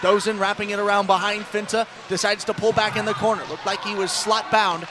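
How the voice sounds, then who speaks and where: High-pitched man calling hockey play-by-play. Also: level tone, noisy background. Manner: rapid descriptive calls, raised voice on action. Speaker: play-by-play sports announcer. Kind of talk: live radio ice hockey commentary.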